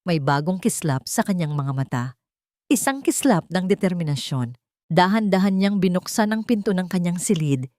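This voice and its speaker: Female narrator, lower register, dramatic scripted love-story reading, very dry sound. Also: expressive, normal speed, a little mellow, dry recording.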